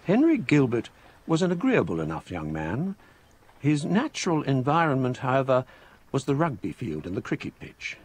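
An actor playing a scholarly English gentleman recounting a ghostly memoir in measured, formal, literary phrases for radio drama. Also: mid-range voice, huge pitch swings, breathy edge. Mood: reflective.

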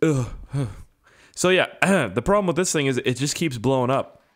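A young man with a hoarse, strained voice giving casual, profane, self-mocking commentary while playing a game. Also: mid-range voice, animated, normal speed. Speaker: gaming YouTuber. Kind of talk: casual let's-play commentary.

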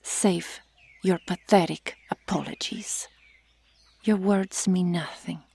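A woman acting a slow, breathy, stern Amazon warrior. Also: on the low side, steady tone, mellow, full character voice. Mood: stern, threatening. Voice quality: breathy, often whispering. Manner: formal, solemn, deliberate phrasing. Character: stern, commanding Amazon warrior woman guarding sacred jungle territory. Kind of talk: ASMR roleplay acting.

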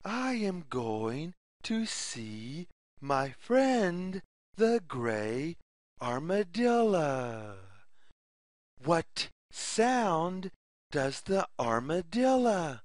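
A children's storyteller reads aloud extremely slowly, setting each phrase apart. The pitch swings widely in a sing-song pattern. The sound is dry and close, suited to a simple picture book for young listeners. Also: very animated, no room sound. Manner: deliberate, word-by-word delivery with sing-song questions.

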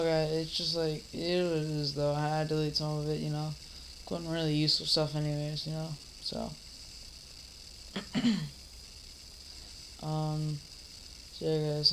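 Teen guy with a cold, gravelly flat voice, rambling vlog from his recliner on a laptop cam. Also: a little high, moderate pace, a little hiss or hum, poor audio. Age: teens. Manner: rambling, lots of fillers and restarts; mock-scolds an imaginary heckler. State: coming down with a cold. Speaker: vlogger. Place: dim living room, seated in a recliner.

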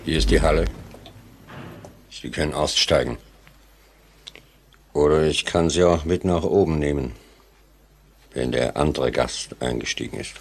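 Extremely deep, creaky, enigmatic elevator man. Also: full character voice. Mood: matter-of-fact. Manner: calm, measured, unmoved. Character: enigmatic, unruffled male elevator operator. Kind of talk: 1940s German radio play acting.

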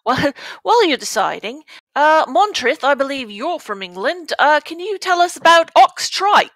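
A woman's voice: high voice, sing-song, normal speed, fairly bright tone, no room sound. A woman co-hosting a livestream, chatting eagerly with stumbling restarts. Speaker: co-host. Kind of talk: casual group chat.

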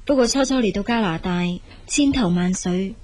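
An actress playing a practical, dutiful elder sister in a Cantonese radio drama, frank, brisk and reassuring. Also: female voice, medium pitch, darkish tone, natural voice. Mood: earnest, candid.

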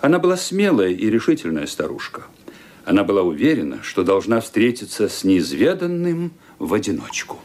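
A man's voice: lowish voice, huge pitch swings, normal speed, darkish tone. A man narrating a radio play in a dramatic, suspenseful reading style. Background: music underneath.

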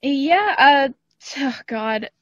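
A woman's voice: high-pitched, animated, drawn-out words, fairly bright tone, no room sound. A woman guest talking casually and informally in a podcast interview over a remote call. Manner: chatty and informal, often laughing lightly. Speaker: podcast guest, audio drama creator. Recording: dry, slightly dull call audio.

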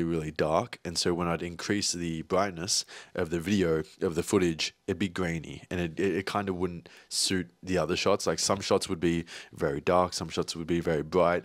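A young man talks casually to camera, rambling and restarting his sentences with plenty of ums and likes. His voice sits low, and the recording is dry and close. He has hay fever. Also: no room sound. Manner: rambling, many fillers and restarts. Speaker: vlogger and videographer. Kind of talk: casual vlog talk.

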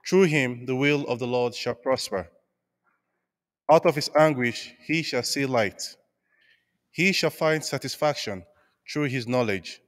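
A man's voice: mid-range voice, unhurried, long pauses. A young man reading scripture steadily at a lectern microphone in a large church. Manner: steady, careful reading aloud. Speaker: lector. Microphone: mic on a stand at the lectern. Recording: long church reverb.

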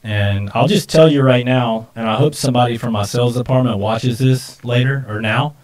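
A man's voice: medium pitch, moderate pace, darkish tone, far from the mic, low-quality recording. A man in his early forties chatting casually and off the cuff as co-host of a live stream at a desk microphone.

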